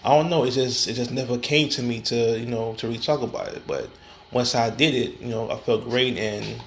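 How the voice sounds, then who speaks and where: A man's voice: mid-range voice, fairly even intonation, a little fast, slight background noise. A man hosting his own podcast, talking casually and alone, with many restarts and repeated words. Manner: frequent fillers and restarts, stammering repetitions. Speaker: podcast host. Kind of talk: casual solo podcast talk.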